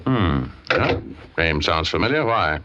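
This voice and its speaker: An actor playing a wisecracking, money-minded private detective in a slangy, flippant 1940s radio drama style. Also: male voice, low-pitched, sing-song, unhurried, heavy background noise. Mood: guarded. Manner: slangy, flippant, hard-boiled. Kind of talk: 1940s old-time radio acting with hard-boiled narration. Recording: muffled old radio broadcast with music.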